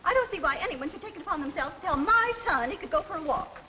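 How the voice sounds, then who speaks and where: A woman's voice: animated, quick pace, faint music behind. An actress playing an indignant, overprotective small-town mother in a 1940s film, fussy and scolding. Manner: shrill, scolding. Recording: dull, hissy 1940s film soundtrack.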